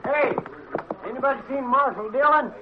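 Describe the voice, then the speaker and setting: A man's voice: very high voice, moderate pace. A man acting as an earnest, deferential sidekick to a marshal, with plainspoken country drawl, in a 1950s radio western. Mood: urgent. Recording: tinny, noisy old radio recording.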